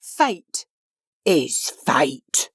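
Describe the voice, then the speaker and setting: Actress as slow, swooping mysterious Fate. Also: female voice, sits low, big pitch swings, slightly rough, no room sound, clean studio sound, exaggerated voice. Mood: cryptic. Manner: theatrical, incantatory. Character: mysterious, mocking shadow and dark Fate.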